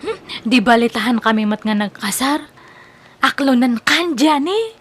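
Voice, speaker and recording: An actress playing a discontented, whiny young wife and daughter in an Ilocano radio comedy drama, speaking in a plaintive, dramatic way. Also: female voice, fairly high pitch, lively intonation, a little slow, fairly bright tone, breathy edge, heavily acted. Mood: coy, subdued. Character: discontented wife, whiny daughter leaving her sick husband.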